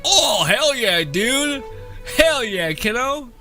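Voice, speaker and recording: A man's voice: very high-pitched, sing-song, slow pace. A young man giving casual live game commentary in a jokey, rambling, sweary way. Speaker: game streamer. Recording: game music behind voice.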